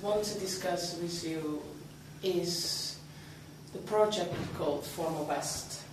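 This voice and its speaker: Brief: woman interviewer, hesitant and searching, recorded from afar in a roomy meeting room with steady noise. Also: fairly low pitch, slow. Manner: hesitant, with frequent ums. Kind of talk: conversational interview questions. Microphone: far camera mic. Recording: echoey room, distant mic, background noise.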